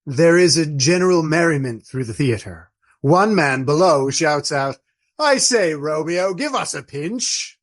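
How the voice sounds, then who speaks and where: A man playing an old friar in a radio play, speaking in formal, ornate, deliberate phrases with gallant fondness. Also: fairly high pitch, animated. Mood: fond, wry. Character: courtly old Trappist friar, fond, wry, gallant storyteller.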